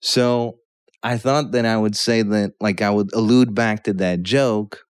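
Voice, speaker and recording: A man's voice: on the low side, fairly even intonation, measured pace, clean tone, no echo, studio quality. A Polish-born man in his thirties, a guest comedian, telling a rambling story on a podcast and checking in with "yeah?" as he goes. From Poland.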